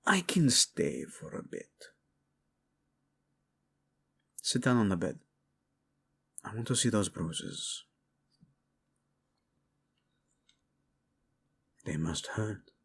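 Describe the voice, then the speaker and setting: A male voice actor playing a devoted, possessive royal husband in an intimate romantic audio roleplay, with a husky low voice and formal, teasing speech. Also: heavily acted. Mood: protective, firm. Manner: slow, hushed, teasing, formal wording.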